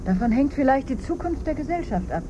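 Idealistic specialist, urgent, pleading, science fiction radio play, faint background noise. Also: female voice, sits high, big pitch swings, a little fast, highs cut off.

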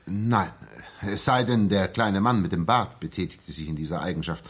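A determined former soldier in a 1960s radio play. The voice is fairly low and husky. He speaks earnestly and emphatically, in well-spoken, careful phrases. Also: moderate pace. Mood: earnest recounting. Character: earnest, determined male ex-soldier worried for his comrade. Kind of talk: 1960s German radio drama acting.

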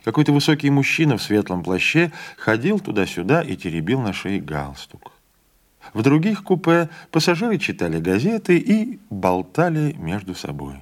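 A man reading a literary story aloud as an audiobook narrator, in a measured, expressive storytelling manner. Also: medium pitch.

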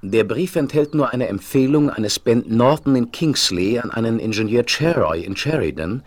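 An actor playing a lanky, earnest travelling healer of the Wild West, in an expressive, theatrical radio play manner. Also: male voice, mid-range voice, normal speed, lightly acted. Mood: earnest, recounting. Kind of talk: 1970s German radio play acting.

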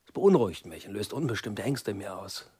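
An opinionated man in a radio drama, fretful and indignant. His voice is a little low and slightly husky. He insists on his points with agitated emphasis. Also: animated, brisk, dark tone. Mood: indignant, anxious. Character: exasperated, opinionated man fretting over a friend. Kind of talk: German radio play monologue and dialogue.

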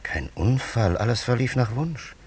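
An actor playing a dignified, pleasure-loving time traveller from an ancient land in a radio drama, with a gravelly, husky voice and lofty, formal diction. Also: male voice, mid-range voice, animated, normal speed. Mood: lofty, self-satisfied. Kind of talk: German radio drama acting.

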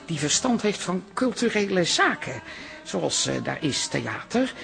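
A sceptical woman with slightly snobbish airs. She speaks hesitantly and doubtfully, with lively rises and falls, in radio drama style. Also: on the low side, unhurried, vocal fry, full character voice. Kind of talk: modern radio drama acting.

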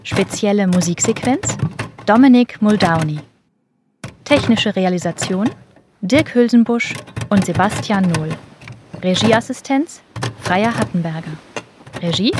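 A woman's voice: medium pitch, lively intonation, a little slow, long pauses. A woman reading scripted credits as the announcer of a radio drama. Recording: clear clicking mechanical noise behind.

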